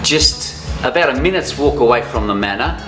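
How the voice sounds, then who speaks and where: A man's voice: mid-range voice, expressive, moderate pace, pushed and bright, reverberant, faint music behind. A man hosting a travel show, chatting in an upbeat, casual way with local slang.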